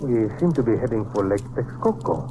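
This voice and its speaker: An actor playing a courteous, cautious Mexican police inspector in an old-time radio drama, speaking formally and carefully with a Mexican accent. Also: male voice, on the low side, animated, close to an everyday voice. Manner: formal, measured, no contractions. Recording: noisy vintage radio recording.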